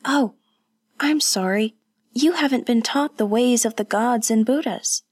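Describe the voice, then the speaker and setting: A woman voicing a sheltered, curious young noblewoman who speaks brightly and eagerly. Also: a little high, unhurried, dry recording, clean studio sound, voice pushed up.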